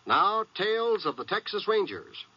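A man's voice: sits high, sing-song, moderate pace, very noisy. An American man announcing in the polished promotional style of 1950s network radio. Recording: dull old radio broadcast.

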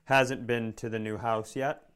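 A man's voice: medium pitch, little pitch movement, a little slow, a bit bright, smooth. A man co-hosting a podcast in casual comedic chat, self-mocking and acting out little quotes as he talks. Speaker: podcast co-host.

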